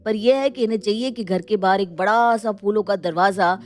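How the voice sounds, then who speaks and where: Polite young man, mid-pitched as a woman voices him. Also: normal speed, natural voice. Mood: weary. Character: polite, weary young man helping the household. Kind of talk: animated Hindi moral story acting.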